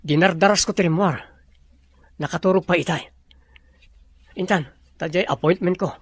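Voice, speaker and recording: Creaky-voiced exasperated Filipino boss. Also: male voice, high voice, normal speed, no room sound. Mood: exasperated, troubled. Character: male boss, businesslike, easily exasperated, troubled by conscience. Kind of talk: radio drama acting.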